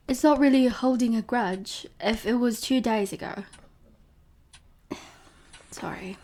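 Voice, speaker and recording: A woman's voice: a little high, darkish tone. A woman playing a possessive, teasing vampire professor in an intimate audio roleplay, coaxing the listener in murmurs that often drop toward a whisper. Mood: playfully chiding.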